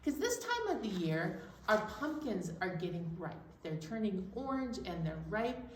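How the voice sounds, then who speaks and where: A woman's voice: medium pitch, big pitch swings, a little slow. A woman leading a children's storytime in simple, encouraging language, talking young listeners through hand motions and sing-along songs. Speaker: children's storytime leader at a library. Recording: roomy, distant mic, faint background noise.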